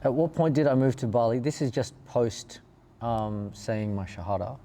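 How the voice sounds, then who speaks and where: A man's voice: mid-range voice, normal speed, warm tone. A man from Perth with an Australian accent, telling their story in a casual, informal and slightly rambling way as an interview guest. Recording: clear background ambient noise.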